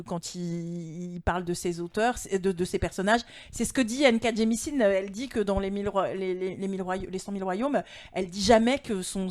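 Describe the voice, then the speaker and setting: A woman arguing a point in an informal panel discussion, halting and repeating words as she thinks aloud. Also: medium pitch, darkish tone.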